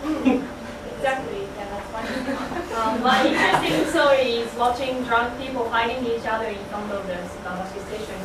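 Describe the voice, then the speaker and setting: Young woman doing a class role play, highish voice, halting, echoey room. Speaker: student presenter in role play. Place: indoor room, recorded at a distance. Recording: distant mic, echoey room, loud noise.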